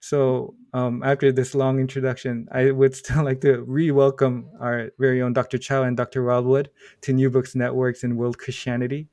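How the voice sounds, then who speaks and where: Measured podcast host reading introductions. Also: male voice, medium pitch, level tone, a little mellow, dry recording. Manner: measured, reads formal bios with small stumbles and fillers. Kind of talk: reading a guest introduction, then interviewing.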